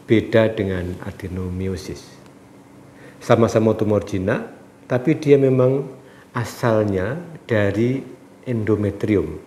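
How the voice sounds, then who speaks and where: Nasal-sounding man with a cold. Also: on the low side. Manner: calm, measured, pauses with "eh". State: congested from a cold. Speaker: doctor answering viewer questions. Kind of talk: explanatory health talk. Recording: echoey room with faint background noise.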